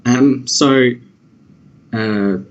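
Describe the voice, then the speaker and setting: A man's voice: mid-range voice, very slow. An Australian man answering questions in casual conversation, rambling and hesitant with many fillers and restarts. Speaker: interviewee. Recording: dull lo-fi audio.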